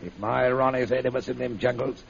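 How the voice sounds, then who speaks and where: Man acting a gravelly, excitable Cockney sidekick. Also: medium pitch, fast, lightly acted. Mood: relieved, subdued. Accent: Cockney English. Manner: emotional, colloquial, exclaiming. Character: rough, excitable Cockney sidekick, anxious father. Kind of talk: 1930s radio serial acting. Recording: muffled, noisy old radio serial recording.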